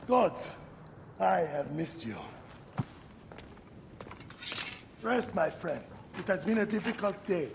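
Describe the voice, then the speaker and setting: An actor playing a hearty, loyal warrior companion in a game cutscene, expressive, familiar and gravelly. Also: male voice, sits high, measured pace, exaggerated voice. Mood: fond. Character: hearty, loyal male warrior friend, welcoming and teasing. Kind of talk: video game cutscene acting. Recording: long reverb, clearly noisy background.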